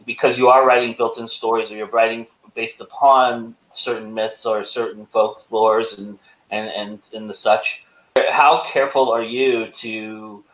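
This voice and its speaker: A man moderating a panel conversationally, with a rambling, halting delivery that often repeats words. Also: lowish voice, fairly bright tone. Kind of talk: conversational interviewing. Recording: echoey room.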